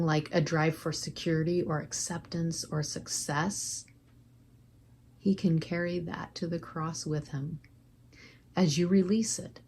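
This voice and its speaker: Woman doing guided prayer meditation, lowish voice, gentle and unhurried, noticeable background noise.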